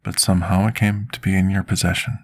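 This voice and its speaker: A man playing a scarred, remorseful potion master in an intimate ASMR roleplay, speaking formally and deliberately like someone confessing his past. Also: sits low, flat delivery. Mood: sorrowful, confessional. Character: scarred male potion master, devoted, remorseful, soft-spoken servant.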